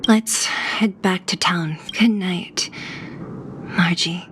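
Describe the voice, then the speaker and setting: Gentle game companion, lowish pitch, extremely slow, soft, pensive, background noise. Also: female voice. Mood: gentle, resigned. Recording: clear background noise, ambience.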